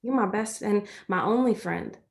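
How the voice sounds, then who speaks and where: A woman's voice: medium pitch, moderate pace, natural voice. An actress playing a loyal, reassuring young country woman in a stage play reading, plain-spoken and earnest. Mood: tender. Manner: folksy, earnest, reasoning things out.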